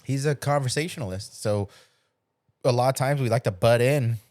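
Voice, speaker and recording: A man's voice: medium pitch, moderate pace, a little mellow, dry recording. A middle-aged man in a casual podcast chat, telling personal stories with acted-out quotes and loose, salty language. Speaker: podcast host.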